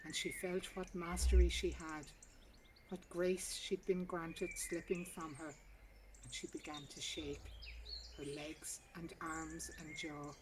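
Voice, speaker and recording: Female story reader, lower register, measured and sombre; garden recording with prominent birdsong. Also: little pitch movement, noisy background. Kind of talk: literary story reading. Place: outdoors in a garden. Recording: outdoor garden recording, distant mic, birdsong.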